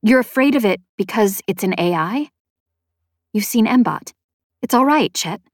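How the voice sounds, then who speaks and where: A woman's voice: mid-range voice, expressive, a little slow, no room sound. Young female lead read by a woman narrator, inquisitive, direct, casual audiobook dialogue, dry sound. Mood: concerned. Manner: casual, questioning.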